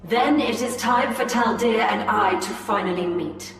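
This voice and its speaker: A woman's voice: a little high, close to an everyday voice. A woman as a solemn elf seer, echoing. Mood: resolute. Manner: measured, formal. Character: resolute, solemn female alien elf seer. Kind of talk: video game cutscene acting. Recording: cavernous reverb, distant, over music.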